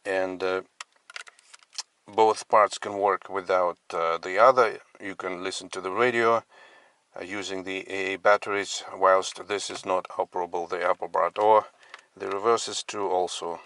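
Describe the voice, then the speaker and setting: Low-voiced man, halting casual demonstration. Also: steady tone, fairly bright tone. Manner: halting, piecemeal phrasing. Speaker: radio collector presenting his collection. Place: inside a parked car.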